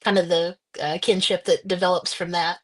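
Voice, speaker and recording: A woman author answers interview questions in a low-set, flat, even voice. She talks hesitantly, pausing often and restarting her thoughts. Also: a little hiss or hum. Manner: hesitant, with many fillers and restarts. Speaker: author guest.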